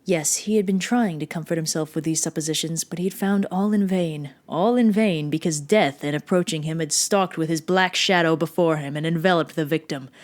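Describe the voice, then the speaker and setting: A woman performing a dramatic gothic horror reading as a mad, obsessive murderer who insists on being sane, theatrical and deliberate, moving between hushed and swelling tones. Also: deep voice, lightly acted. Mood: menacing, hushed.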